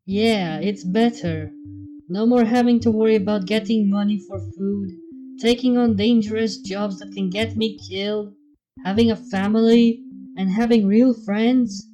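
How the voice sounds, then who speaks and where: A woman's voice: mid-range voice, fairly even intonation, unhurried, warm tone, highs cut off, soft background music, close to an everyday voice. A woman voicing a blunt, world-weary pony with a dry manner in a fan story reading.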